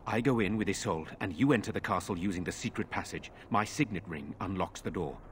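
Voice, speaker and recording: An actor playing a dutiful, resolute nobleman in a video game, earnest, formal and measured. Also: sits low, fairly bright tone, slight background noise. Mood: grave, urgent. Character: resolute, dutiful nobleman, self-sacrificing brother. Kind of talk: dramatic video game dialogue.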